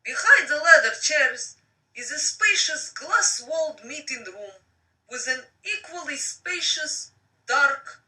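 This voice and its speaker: A woman reading a story aloud as host of a live stream, in a theatrical, drawn-out, expressive way. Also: fairly high pitch. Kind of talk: live dramatic audiobook-style reading with casual asides. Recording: distant microphone on live stream.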